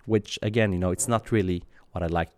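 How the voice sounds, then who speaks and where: A man in his thirties from Sweden talks in a deep, low voice. He answers in a thoughtful, halting way, full of fillers and restarts. The sound is close and dry. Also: steady tone, darkish tone, no room sound. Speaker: guest. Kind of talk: interview answers.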